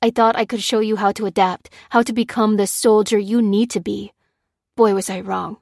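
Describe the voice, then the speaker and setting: A woman's voice: medium pitch, brisk, no echo, studio quality, natural voice. A woman voicing a bitter, hardened male soldier who growls and rants accusations. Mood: angry ranting. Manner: growling, ranting, hurling blame. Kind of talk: audiobook character reading.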